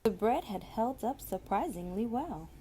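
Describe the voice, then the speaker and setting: A woman reading a children's story aloud, with deliberate, expressive phrasing and drawn-out words. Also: on the high side, breathy edge. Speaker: storybook reader.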